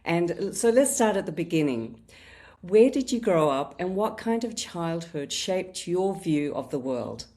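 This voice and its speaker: Podcast host, woman in her fifties, low voice, warm dark tone, steady scripted intro. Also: measured pace, husky. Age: 50s. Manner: warm welcoming scripted intro, then conversational questions. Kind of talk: podcast interview.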